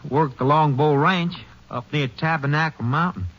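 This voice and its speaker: A man's voice: a little high, moderate pace, a bit bright, close to an everyday voice. An actor playing a nervous, folksy cowhand who stammers through his protests in an old radio western. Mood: folksy, proud. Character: nervous cowhand, chatty ranch worker protesting innocence. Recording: dull old radio drama recording.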